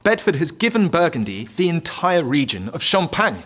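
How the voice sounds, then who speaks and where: A man's voice: on the high side, big pitch swings, moderate pace, a bit bright. An actor playing a frustrated medieval French king in an audio drama, formal, imperious and bitter. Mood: weary, decisive. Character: frustrated, indignant young French king in the fifteenth century.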